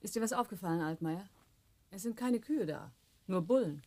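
An actress in a German radio play playing a brisk, sharp-witted woman with a teasing manner. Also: medium pitch, expressive, lightly acted. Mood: sharp, wry.

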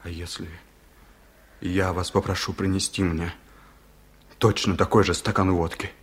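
An actor playing an earnest young village violinist in a 1970s radio drama, asking quick questions. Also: male voice, low voice, flat delivery, breathy edge. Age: young adult.

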